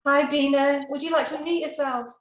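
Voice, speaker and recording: A woman leads a patient panel over a video call. Her voice sits a little high, and she keeps her phrasing polite, measured and orderly. An echoey room rings around her. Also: steady tone, a bit bright. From England. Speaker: panel moderator.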